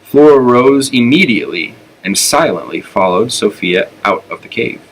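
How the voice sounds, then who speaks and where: A man reading a children's story aloud with expressive narration. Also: mid-range voice, normal speed, slight background noise, rough recording. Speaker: elementary school storyteller.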